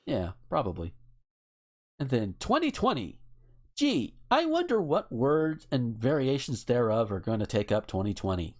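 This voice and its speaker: A man's voice: medium pitch, huge pitch swings, moderate pace, a little mellow. A man hosting a casual podcast, reading out lists and adding informal, rambling asides. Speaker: podcast host.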